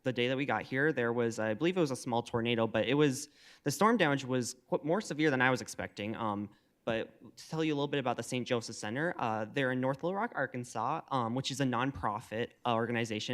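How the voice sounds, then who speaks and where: Volunteer presenter, young man, Minnesota native, speaks haltingly. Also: medium pitch, fairly bright tone. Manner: halting, many filler sounds. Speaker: volunteer team member. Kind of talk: presenting slides at a public meeting.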